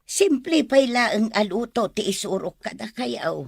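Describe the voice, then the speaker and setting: A woman playing a kindly old grandmother in an Ilocano radio drama, speaking with animated encouragement. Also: fairly high pitch, measured pace, creaky, breathy edge, no room sound, studio quality, heavily acted. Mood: fond, encouraging.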